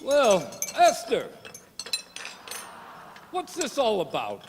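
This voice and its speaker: A man acts out a lovesick, blustery gangster kingpin for a radio play. His voice is very high and swoops about in old-time mobster slang. It rings in a big, noisy hall. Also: wildly swooping pitch, extremely slow, exaggerated voice. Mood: smitten, breezy. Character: slangy, lovesick 1920s gangster boss playing a king. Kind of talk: amateur radio-play acting. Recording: reverberant hall with clear background noise.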